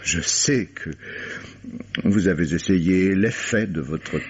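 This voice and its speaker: A man's voice: deep voice, breathy edge, exaggerated voice. An actor playing a dry, shrewd examining magistrate in a vintage French radio mystery, formal and ironic as he insinuates. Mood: dryly insinuating. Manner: formal, hesitating, ironic, deliberate phrasing. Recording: dull vintage radio recording.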